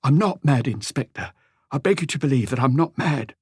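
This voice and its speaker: A man's voice: medium pitch, expressive, fairly quick, darkish tone, slightly rough, dry recording, clean studio sound, pitched above the performer's own voice. A man voicing a timid, humble, nervous tradesman in an audiobook, hesitant and apologetic in the way he talks. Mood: pleading. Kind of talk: audiobook character dialogue.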